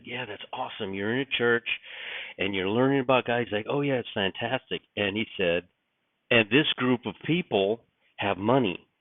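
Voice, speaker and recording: Raspy man, just up, low pitch, rambling and musing, tinny dry recording. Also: no room sound. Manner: rambling, musing, frequent restarts and fillers. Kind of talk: casual spoken reflection. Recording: dry, tinny phone-like sound.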